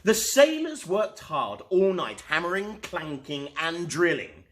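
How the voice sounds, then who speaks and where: A man reading a children's storybook aloud as host, expressively and with dramatic pauses. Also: a little high, a little hiss or hum. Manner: animated, unhurried, dramatic pauses.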